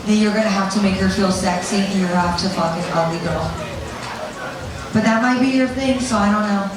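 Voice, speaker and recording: A woman giving an informal seminar talk, blunt and conversational, telling anecdotes and swearing freely. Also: mid-range voice. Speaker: seminar speaker. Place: seminar room with an audience. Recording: very echoey room, distant mic, audience noise.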